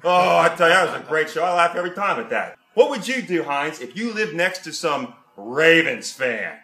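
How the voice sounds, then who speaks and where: A man's voice: on the high side, big pitch swings, moderate pace, bright, forceful tone, full character voice. A man doing a gushing superfan. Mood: bossy, gossipy. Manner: gushing, rambling, sighing "oh jeez" asides. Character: brash middle-aged male Pittsburgh football fan. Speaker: sketch interviewer. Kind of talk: comedy sketch acting. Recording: roomy echoey space.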